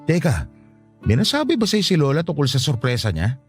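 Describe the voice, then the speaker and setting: A man's voice: a little high, wildly swooping pitch, a little fast, soft background music. A man acting as an earnest, devoted grandson in a radio drama, questioning and emotional. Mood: affectionate.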